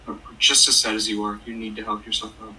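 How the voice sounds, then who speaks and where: A man's voice: on the low side, completely flat delivery, fast, sharp tone. A man playing the exasperated, worried son of a troubled mother in a radio play. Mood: firm, weary. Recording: distant mic, faint background noise.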